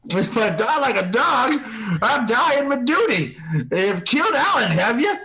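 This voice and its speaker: A performer voicing a gruff old pirate sailor in a strained, gravelly, drawling, hammy way. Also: high voice, sing-song, measured pace, bright, forceful tone, voice pushed up, heavily acted, poor audio. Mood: defiant.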